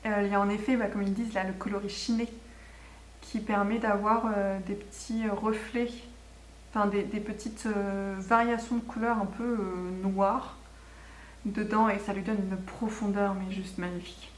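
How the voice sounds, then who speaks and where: A woman's voice: medium pitch, level tone, normal speed. Woman chatting in an echoey room. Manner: enthusiastic, rambling, self-correcting. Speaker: knitting podcast host. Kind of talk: casual video podcast chat. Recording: echoey room with faint background noise.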